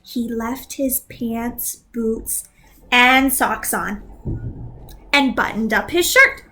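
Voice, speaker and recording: A young woman teacher reading a picture book aloud to young learners, slow and expressive, acting out the sound effects, then asking simple questions. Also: on the high side, soft background music, poor audio.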